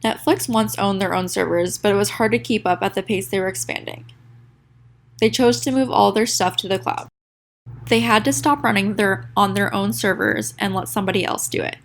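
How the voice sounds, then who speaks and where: A young woman presents a school project in a congested, nasal voice, with a gravelly fry running under her words. She reads from a prepared script, tripping over a word here and there and starting again. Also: medium pitch. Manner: reads a prepared script with small stumbles and restarts. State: sick, congested. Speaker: student presenter. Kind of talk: reading a class presentation.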